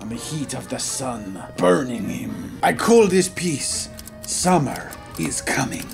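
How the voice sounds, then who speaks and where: A man's voice: medium pitch, big pitch swings, slow pace, vocal fry, over music, heavily acted. A man voicing a pompous young French painter, with a thick put-on French accent and a theatrical, grandiose manner, commenting on a video game. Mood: earnest. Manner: theatrical, grandiose, self-mocking. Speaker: gaming video creator. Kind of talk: gaming commentary in character.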